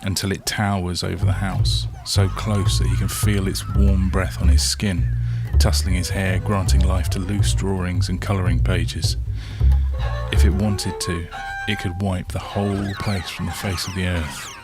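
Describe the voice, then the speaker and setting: A man with a low voice narrates a story at a very slow pace. He speaks in short, measured phrases and leaves dramatic pauses. Prominent plucked guitar music plays behind him. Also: little pitch movement. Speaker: storyteller, author reading own story. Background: soft plucked guitar soundtrack.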